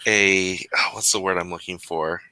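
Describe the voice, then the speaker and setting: A man's voice: sits low, fairly even intonation, normal speed, pushed and bright, clean tone, no room sound, low-quality recording. A man hosting a casual writing livestream, reading out viewer comments and chatting with laughs and self-interruptions. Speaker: host of a writing sprint stream.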